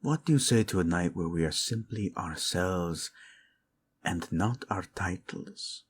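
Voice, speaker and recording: Man acting a husky, devoted low-voiced prince. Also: a little slow. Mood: playful, warm. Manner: intimate, tender, formal phrasing with Spanish endearments. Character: devoted, protective young prince, tender lover. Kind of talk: romantic audio roleplay acting.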